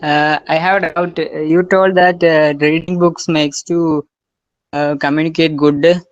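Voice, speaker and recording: A young man asks his question in a polite, hesitant way. His voice is high and flat, and he sounds muffled and far from the mic. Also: a little slow. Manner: polite, hesitant, uses sir. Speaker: student asking a question.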